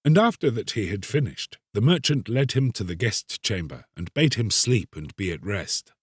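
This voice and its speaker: A man narrating a literary tale as a scripted audiobook, solemn, deliberate and theatrical. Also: on the low side, expressive, breathy edge, no echo.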